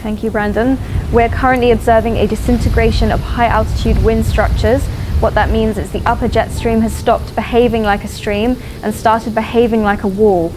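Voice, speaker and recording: A woman's voice: a little high, fairly even intonation, moderate pace, natural voice, low-quality recording. A woman playing a grave climate scientist, explaining precisely as a news guest in an audio drama. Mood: grave, concerned. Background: music.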